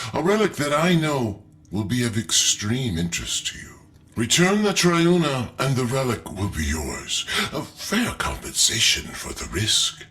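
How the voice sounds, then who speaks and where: A man's voice: medium pitch, fairly bright tone. A man voicing an earnest, principled leader in a video game, briefing allies in a formal, persuasive way.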